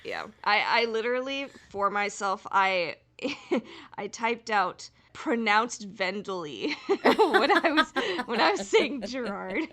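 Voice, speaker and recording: Female podcast co-host, casual and giggly, enthusiastic fan chat, some vocal fry. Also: medium pitch, big pitch swings, slow pace, a bit bright. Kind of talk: casual fan discussion with recap.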